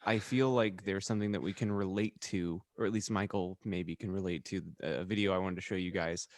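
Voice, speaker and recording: A man with a fairly low voice co-hosts a podcast. He talks in a casual, teasing way, and the recording is dry and close. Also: fairly even intonation, a little fast, no room sound. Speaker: podcast co-host. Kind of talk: casual chat.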